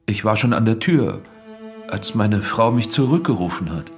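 A man's voice: fairly low pitch, lively intonation, normal speed, soft background music. An actor playing a subdued married man answering a magistrate's questions as a suspect in a German radio drama.

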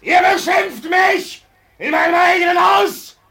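A man's voice: very high-pitched, steady tone, a little slow, vocal fry, sharp tone, shouting, some chatter behind, heavily acted. A man acting in a German radio drama as a drunken, vicious, boastful farm owner, blustering, scornful and cursing. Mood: shouting fury.

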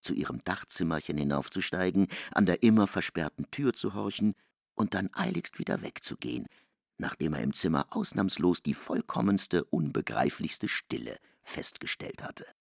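A man's voice: sits low, sing-song, moderate pace, creaky, dry recording, clean studio sound. A man narrating literary prose for a radio play, expressively, in pronunciation.

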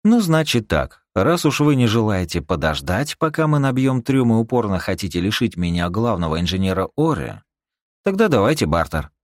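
Мужчина озвучивает практичного, хитроватого начальника, который напористо торгуется, персонаж аудиокниги. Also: male voice, on the low side, very animated, moderate pace, no echo, clean studio sound, pitched above the performer's own voice. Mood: bargaining. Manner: persuasive, slangy. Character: shrewd male boss haggling briskly, stubborn and practical.

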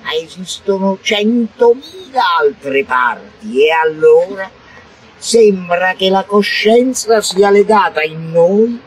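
A man giving a theatrical recitation of poetry on radio, declamatory and halting, drawing out words with strong swings in emphasis. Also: high-pitched, animated. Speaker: reader performing a poetic radio text. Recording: noisy radio broadcast recording.

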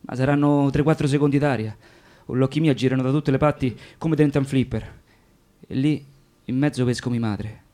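An actor playing a naive, plain-spoken Roman electrician of thirty held prisoner, in a radio play with a Roman accent. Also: male voice, mid-range voice, fairly even intonation, moderate pace, mellow, natural voice. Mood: anguished, tender. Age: 30s. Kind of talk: radio theatre monologue.

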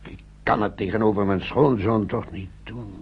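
Worried old gent in war radio play, croaky deep voice, hesitant, muffled old recording. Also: male voice, fairly even intonation, moderate pace. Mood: troubled, hesitant. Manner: hesitant, repeating words. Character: worried, hesitant older gentleman weighing heavy wartime decisions. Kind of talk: Dutch radio play acting.

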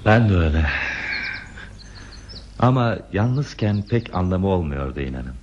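An actor playing a gentle, attentive man in a radio play, speaking politely and patiently as he reassures and probes. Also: deep voice, sing-song, a little slow. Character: gentle, attentive male confidant, courteous and patient. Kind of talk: Turkish radio theatre acting. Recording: muffled lo-fi radio drama, faint noise.

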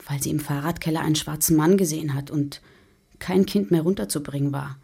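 Tiefe, knarzige Frauenstimme, müde Mutter. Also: female voice, fairly even intonation, fairly quick, mellow, exaggerated voice. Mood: weary, reflective. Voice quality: husky, low. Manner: reflective, confiding. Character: weary single mother doubting her strange daughter. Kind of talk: radio drama monologue.

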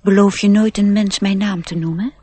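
A woman acting a proud, youthful male nature sprite, self-assured and gently instructive, in a radio play. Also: medium pitch, fairly even intonation, normal speed, slightly rough, close to an everyday voice. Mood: confiding.

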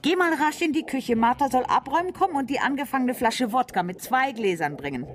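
A woman's voice: high-pitched, animated, fairly quick. A woman playing a coarse, playful doctor's wife and mother in a radio drama, gushing, teasing and bossy.

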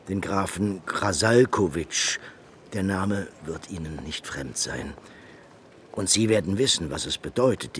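An actor playing a glib, scheming Venetian go-between in a German radio play, talking in a chatty, insinuating and persuasive way. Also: male voice, deep voice, a little hiss or hum.

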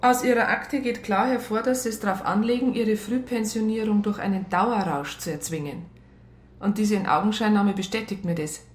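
An actress playing a strict police psychologist who narrates a radio crime drama, matter-of-fact. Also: female voice, medium pitch, normal speed, close to an everyday voice. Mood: stern, formal. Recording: roomy radio play studio sound.

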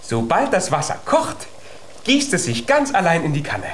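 A man's voice: fairly high pitch, huge pitch swings, moderate pace, noisy background. An actor playing a tiny, clever, stubborn young giant boy in a children's radio play, eager and insistent. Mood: excited, proud.

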